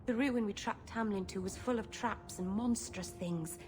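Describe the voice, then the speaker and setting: A woman's voice: medium pitch, moderate pace, darkish tone, a little hiss or hum, natural voice. A woman voicing a naive, earnest young elf with a hesitant, stubborn manner in a video game. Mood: anxious, pleading. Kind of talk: video game dialogue acting.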